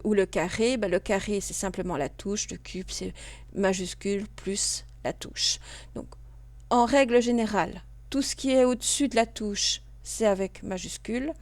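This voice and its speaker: A woman, a computer class instructor calmly talking through a screen tutorial step by step. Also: mid-range voice, no echo. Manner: patient, step-by-step, with small restarts and fillers. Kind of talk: screen-recorded tutorial narration.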